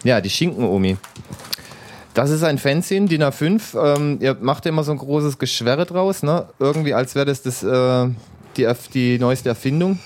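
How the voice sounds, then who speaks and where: A man's voice: medium pitch, moderate pace, darkish tone. A man from southern Germany hosting a casual radio show, talking in a laid-back, agreeable way with dry humour. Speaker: host of an internet radio show. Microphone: home studio mic.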